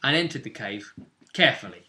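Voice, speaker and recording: Man, very bright forceful voice, game master, casual joking recap, animated storytelling. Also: medium pitch, fairly quick, slight background noise. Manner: animated, joking, acting out moments as he narrates. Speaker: game master of a tabletop roleplaying game.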